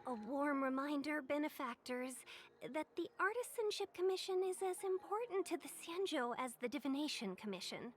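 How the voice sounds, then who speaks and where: A woman's voice: high-pitched, expressive, normal speed, faint music behind. An actress playing a polite, formal young woman who guides travelers. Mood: earnest. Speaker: game character.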